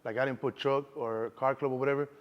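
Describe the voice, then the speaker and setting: A man in his thirties with a Chicago accent talks fast and loose as an interview guest. His voice sits at a middle pitch, and he keeps dropping in "you know what I'm saying" as he goes. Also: fairly even intonation, lo-fi sound. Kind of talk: casual street interview.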